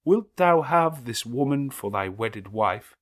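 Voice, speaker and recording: A man voicing a solemn, earnest clergyman in an audiobook, declaiming in a formal, measured and ceremonious manner. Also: medium pitch, expressive, voice pushed up. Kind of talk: audiobook character acting.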